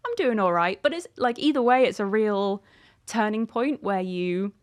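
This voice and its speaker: A woman's voice: medium pitch, lively intonation, moderate pace. A woman in her thirties, an author chatting casually and animatedly in an interview, in an informal way. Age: 30s.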